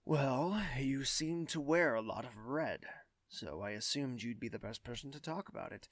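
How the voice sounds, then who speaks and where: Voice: medium pitch, animated, normal speed, dry recording, studio quality. An actor playing a young prince in an audio drama, refined, distracted and hesitant, musing aloud. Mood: earnest, musing. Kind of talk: scripted audio drama acting.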